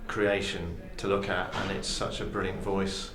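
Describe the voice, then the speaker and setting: A man's voice: low-pitched, level tone, measured pace, music in the background. A man speaking as an auditioning actor, recorded in a room. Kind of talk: audition monologue, pitch and casual interview. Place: echoey university room. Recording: very echoey room, distant mic, faint noise.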